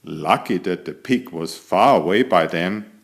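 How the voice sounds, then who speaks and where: Man voicing a vain, lively storybook tomcat, unhurried. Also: low voice, gravelly, voice pushed down, full character voice. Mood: cheerful, flattering. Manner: self-admiring, theatrical, addresses young listeners directly. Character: elegant, vain, chatty tomcat telling his adventures. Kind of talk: animated children's picture-book narration.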